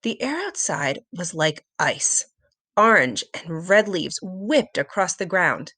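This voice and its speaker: A woman narrating a children's story aloud in an expressive, dramatic storytelling manner. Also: fairly low pitch, slow pace. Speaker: storybook reader.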